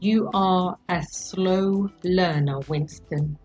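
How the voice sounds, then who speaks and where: A woman voicing a calm, controlling male interrogator in a story reading, deliberate and patronising as he questions his captive. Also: high voice, soft background music, heavily acted. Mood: patient, patronising.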